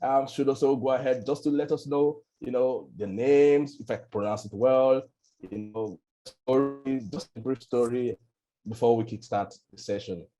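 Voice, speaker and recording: Mellow-voiced male webinar moderator. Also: medium pitch, normal speed, poor audio. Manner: relaxed, repeats "you know" often. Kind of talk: online panel moderation.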